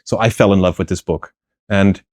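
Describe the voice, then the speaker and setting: A middle-aged man speaks in a lowish voice, talking through ideas thoughtfully with plenty of fillers and self-corrections. The sound is dry and close, with no room around it. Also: fairly even intonation, normal speed, darkish tone, no room sound. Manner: thoughtful, many fillers and restarts, laughs lightly. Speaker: guest, plant philosopher. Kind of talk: conversational interview answers.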